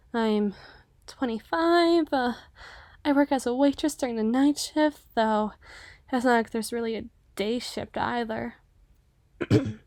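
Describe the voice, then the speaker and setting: A woman's voice: high-pitched, darkish tone, no echo, clean studio sound. A woman voicing a shy, self-effacing cyclops waitress in her twenties, hesitant and earnest, in an ASMR roleplay. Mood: shy, apologetic. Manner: hesitant, reserved, rambling earnestly.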